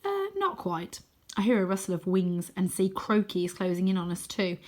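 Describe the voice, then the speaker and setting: A woman reading a children's storybook aloud in an expressive, dramatic way, sometimes hushing her voice for suspense. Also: on the low side. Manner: dramatic, deliberate, dropping to hushed tones for suspense.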